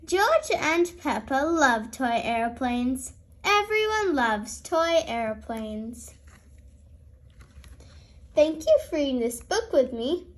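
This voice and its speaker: Female children's read-aloud host: very high voice, highly melodic, expressive storybook delivery. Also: slow pace.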